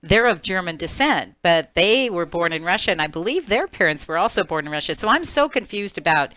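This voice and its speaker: A woman's voice: on the low side, expressive, a little fast, bright, forceful tone. A woman host chatting casually with a panel, laughing often as she goes. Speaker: talk show host and magazine editor.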